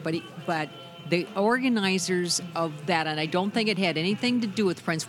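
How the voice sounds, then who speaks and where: A middle-aged woman with a deep voice, a musician guest chatting loosely in an interview, full of restarts and "you know". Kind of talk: casual interview chat.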